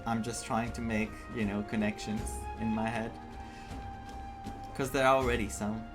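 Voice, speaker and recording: A man's voice: on the low side, little pitch movement, normal speed. A man giving casual playthrough commentary, chatting and thinking aloud as he goes. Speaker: gaming content creator. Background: game music.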